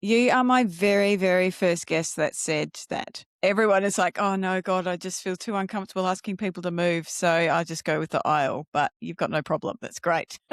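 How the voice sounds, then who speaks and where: A woman's voice: fairly low pitch, fairly even intonation, normal speed, dry recording, clean studio sound. A woman hosting a podcast, asking questions in a casual, conversational interview style. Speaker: podcast host.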